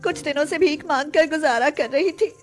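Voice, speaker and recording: Woman acting a high-pitched young beggar girl putting on airs. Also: moderate pace, faint music behind, natural voice. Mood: pitiful, pleading. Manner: pleading when begging, sugary and provocative when posing.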